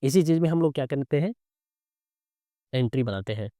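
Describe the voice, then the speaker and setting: A man's voice: medium pitch, animated, brisk, dark tone, no room sound, clean studio sound. A man with a slightly sore throat hosting a live trading lesson, explaining casually and often checking in with short tag questions. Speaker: trading instructor and live-trade host. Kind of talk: casual live commentary teaching chart reading.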